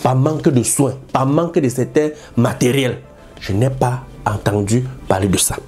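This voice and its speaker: Dark-voiced man hosting a commentary. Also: mid-range voice, normal speed, faint music behind. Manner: repetitive, emphatic. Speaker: host. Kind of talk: commentary monologue.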